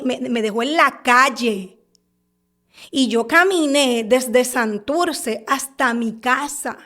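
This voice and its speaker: A woman in her thirties speaks with a Puerto Rican accent in a high, light voice. She is a podcast guest giving her testimony, stumbling, restarting and repeating words as she goes. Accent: Puerto Rican Spanish. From Puerto Rico. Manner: halting, repeats and restarts words while recounting.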